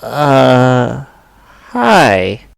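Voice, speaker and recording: A man's voice: mid-range voice, sing-song, very slow, creaky, clean tone, dry recording, full character voice. A man playing a cautious, kindly young friend in an audio drama, speaking in a hesitant, tentative way.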